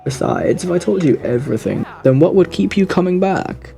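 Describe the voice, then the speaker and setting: Suave gentleman radio demon, swooping intonation, mellow dark tone, theatrical, flirtatious, faintly menacing, audio roleplay. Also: high voice, animated, moderate pace, gravelly, soft background music. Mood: teasing, sly. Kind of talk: theatrical ASMR character roleplay monologue.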